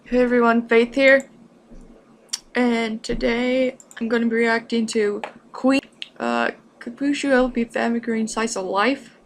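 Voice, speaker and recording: A woman chatting casually as a reaction video host, with a rambling, halting delivery. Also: fairly high pitch, steady tone, a little hiss or hum. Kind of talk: casual chat to camera.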